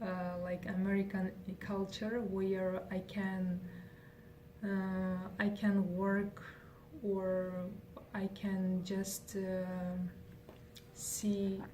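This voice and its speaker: A woman's voice: medium pitch, monotone, drawn-out words, dark tone. A young woman from Kazakhstan, whose first language is Kazakh, answering interview questions in English with many fillers and restarts. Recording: faint noise, distant from mic.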